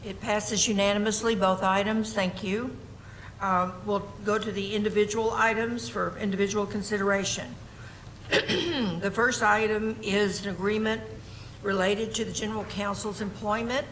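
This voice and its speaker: A woman chairing a board meeting, running through the agenda formally; she is losing her voice and keeps clearing her throat, with many ums and small self-corrections. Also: medium pitch, flat delivery, normal speed, a bit bright, a little hiss or hum, rough recording. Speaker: board chair presiding over a public meeting. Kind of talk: formal meeting procedure, reading agenda and script.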